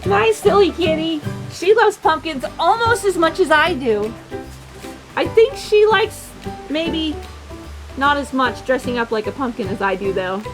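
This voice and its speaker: A woman hosting a children's storytime, reading a picture book in a playful, sing-song, expressive way and talking directly to young listeners. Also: high-pitched, unhurried, over music, low-quality recording.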